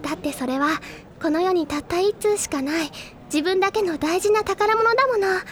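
A woman's voice: very high-pitched, fairly quick, a little hiss or hum. An actress playing a dreamy, playful literary schoolgirl in an audio drama, wistful and theatrically sulky. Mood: dreamy longing. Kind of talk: anime-style audio drama acting.

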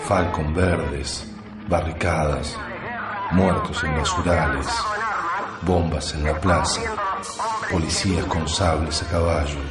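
Juan, a very deep-voiced dazed father. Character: Juan, bewildered time-lost everyman father. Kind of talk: radio drama acting. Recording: echoey, loud music underneath.

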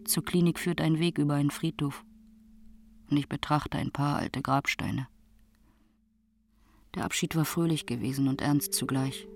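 A performer playing a grave, reflective narrator in a literary radio drama, speaking in measured, short statements. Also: medium pitch, creaky, darkish tone. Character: grave, reflective narrator recounting a dying friend.

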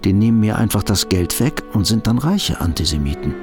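A man's voice: on the low side, huge pitch swings, brisk, music in the background. An actor playing an anxious, bitterly ironic fugitive businessman in a radio drama, thinking aloud in interior monologue.

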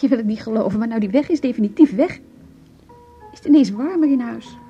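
A woman's voice: fairly high pitch, fast talker, dark tone, lightly acted. An actress in a radio play voicing a weary, hurt wife in her forties who confides about her marriage. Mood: bitter, anguished. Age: 40s. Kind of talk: Dutch radio play acting. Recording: dull lo-fi radio play recording, music.

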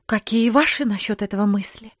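A woman's voice: mid-range voice, a little fast, no room sound, studio quality, natural voice. An actress playing an opinionated, scornful provincial society lady, arch and insistent, in an audio drama of classic literature. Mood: puzzled, probing.

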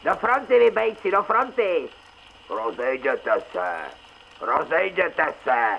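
An actor playing a rough man in a street crowd, barking rude orders in a radio drama. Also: a little high, very animated. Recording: dull, slightly hissy sound.

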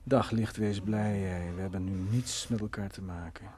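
A man's voice: low voice, fairly even intonation, moderate pace, mellow, a little hiss or hum, natural voice. An actor playing a bitter, brooding drunk man in a Dutch radio play, sardonic, morose and terse in manner. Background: faint music.

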